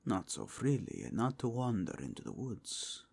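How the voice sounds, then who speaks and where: Man as a suave, whispery, gravelly inquisitor. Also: on the low side, huge pitch swings, a little mellow, full character voice. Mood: probing. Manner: measured, formal, insinuating. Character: suave, probing male cardinal of a fantasy Inquisition. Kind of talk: ASMR fantasy roleplay.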